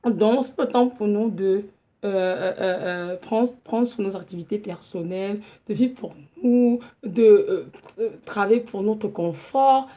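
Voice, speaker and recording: Woman, 30s, hoarse from a cold, earnest informal preacher, mellow tone, halting delivery. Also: mid-range voice. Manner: earnest, halting, with many fillers and restarts. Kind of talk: informal home sermon.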